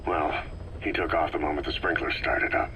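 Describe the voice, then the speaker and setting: An actor playing a stoic, battle-hardened soldier who speaks tersely in a video game. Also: male voice, a bass voice, moderate pace, pushed and bright, heavily acted. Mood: stoic recall. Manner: terse, clipped, understated. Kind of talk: scripted video game dialogue. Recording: faintly noisy cassette tape.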